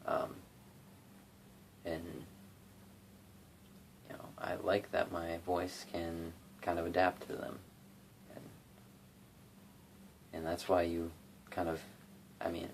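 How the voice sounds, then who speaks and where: A man's voice: low-pitched, monotone, slow pace, vocal fry, pauses often, a little hiss or hum. A young man rambling casually about covering songs, full of fillers and restarts, recorded on a phone in his bedroom. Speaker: YouTube cover singer and guitarist. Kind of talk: casual rambling vlog talk.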